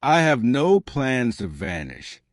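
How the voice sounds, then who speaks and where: A man's voice: mid-range voice, very animated, takes it slowly, no room sound, studio quality. A man voicing an earnest, courteous army colonel in an audiobook, speaking formally and directly. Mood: warmly teasing. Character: earnest, courteous army colonel, a plain-spoken gentleman suitor. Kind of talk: audiobook character dialogue.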